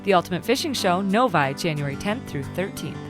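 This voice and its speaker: A woman's voice: fairly low pitch, sing-song, a little fast. Woman reading sponsor credits over music. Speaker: sponsor announcer. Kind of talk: scripted sponsor reads.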